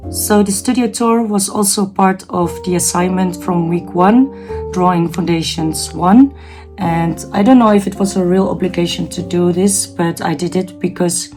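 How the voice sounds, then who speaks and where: A woman in her forties from the Netherlands with a Dutch accent, giving a shy, halting, earnest tour of her home art studio. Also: fairly low pitch. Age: 40s. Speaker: vlogger. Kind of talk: casual studio tour chat. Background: guitar music.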